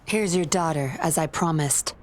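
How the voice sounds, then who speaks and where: A woman's voice: low voice, moderate pace, a little mellow, natural voice. A woman playing a cool, composed young woman. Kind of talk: video game voice acting.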